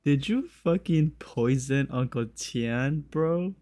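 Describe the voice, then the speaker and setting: A man's voice: mid-range voice, lively intonation, slow pace, dark tone, no room sound. A man doing casual, slangy gameplay commentary in a crude, joking, profane way. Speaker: game streamer.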